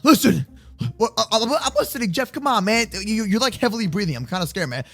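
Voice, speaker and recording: A man chattering excitably through an improvised game roleplay, stammering and repeating himself, with lots of slangy bros. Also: high-pitched, quick pace, soft background music. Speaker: gaming video host, playing in a Minecraft roleplay.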